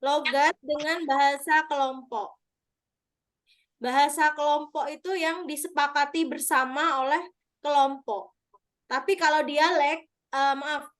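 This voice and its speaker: A woman's voice: sits high, a bit bright. A woman from Madura teaching an online review session, explaining patiently and checking answers with the participants. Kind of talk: online exam review lesson.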